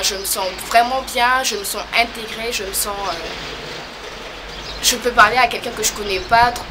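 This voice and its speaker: A young woman from French Guiana, talking casually to camera as a vlogger, hesitant and full of pauses. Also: medium pitch, noisy background. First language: a local creole or regional language. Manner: hesitant, many pauses and fillers.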